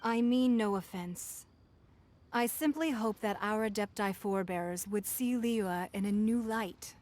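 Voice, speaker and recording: An actress voicing a composed, dignified female leader in a game cutscene, formal and measured. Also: mid-range voice, darkish tone, a little hiss or hum, natural voice. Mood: solemn.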